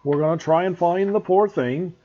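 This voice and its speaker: A man doing a booming gruff gamekeeper. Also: normal speed, lightly acted. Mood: earnest. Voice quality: gruff, growling, loud, rustic speech. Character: huge gruff rough-spoken male gamekeeper. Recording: dull lo-fi recording.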